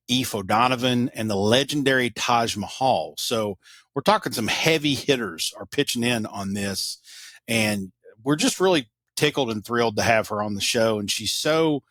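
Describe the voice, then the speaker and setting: A man's voice: mid-range voice, a bit bright, no echo. A man, co-hosting a podcast and telling stories in an earnest, enthusiastic way. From Eastern Kentucky. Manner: earnest, enthusiastic, repeats himself. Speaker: podcast co-host. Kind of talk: casual storytelling chat.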